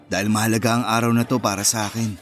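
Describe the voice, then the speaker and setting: An actor playing an earnest, romantic young boyfriend in a Filipino radio romance drama, talking in a sincere, conversational way. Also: male voice, fairly low pitch, flat delivery, very fast, faint music behind, close to an everyday voice. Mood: casual, sincere.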